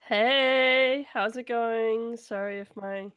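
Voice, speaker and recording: A fairly high-pitched woman speaking slowly and stuffily through a head cold. She is recorded dry, with little room around her. She sounds easygoing and teasing at the game table. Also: steady tone, clear, resonant tone, no room sound, dull audio. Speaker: player. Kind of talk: casual chat at a game table.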